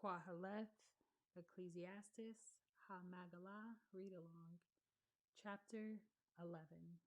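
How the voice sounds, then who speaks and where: A woman giving a slow, measured read-along of scripture, pausing between phrases. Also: fairly low pitch, steady tone. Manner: measured, deliberate reading with pauses. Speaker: scripture reader.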